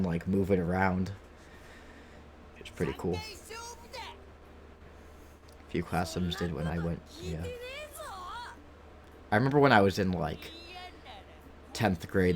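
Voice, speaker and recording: A man's voice: low-pitched, slight background noise. A man in his late twenties chatting casually and rambling through anecdotes as he streams a game to viewers. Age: late 20s. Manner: rambling, anecdotal, acts out quoted remarks. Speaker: video game livestreamer. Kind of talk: casual chat with viewers while gaming. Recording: music behind the voice.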